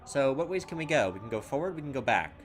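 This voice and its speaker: A man hosting a let's play, chatting casually and excitedly while he reads the game's text aloud. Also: mid-range voice, fast talker, a little hiss or hum. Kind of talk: casual game commentary, reading text aloud.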